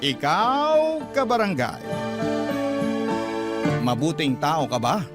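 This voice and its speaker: A man's voice: fairly high pitch, huge pitch swings, slow. A man with a Filipino accent, a radio host narrating a listener's letter as a dramatic story. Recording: loud music under the voice. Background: music.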